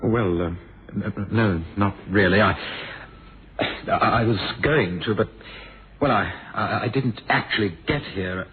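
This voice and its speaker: Man playing defensive, low-voiced son, old radio. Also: measured pace, close to an everyday voice. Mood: flustered, evasive. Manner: hesitant, stammering, clipped. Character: defensive, evasive, sardonic grown son under suspicion. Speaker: actor in a radio drama. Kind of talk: 1970s radio mystery drama acting. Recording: noisy, phone-like old radio recording.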